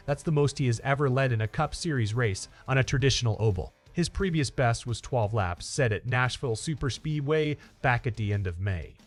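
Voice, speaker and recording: A man narrating scripted motorsport news in an upbeat, emphatic presenter style. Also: mid-range voice. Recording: music under the voice. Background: background music.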